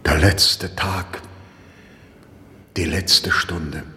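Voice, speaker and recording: A man playing a condemned imprisoned clergyman in a German radio drama, speaking in a solemn, earnest and deliberate manner. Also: low voice, steady tone, bright, forceful tone, full character voice. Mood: grave, resigned. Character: grave condemned male prisoner, a clergyman, anguished and earnest. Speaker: radio play actor. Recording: reverberant hall, music in the mix.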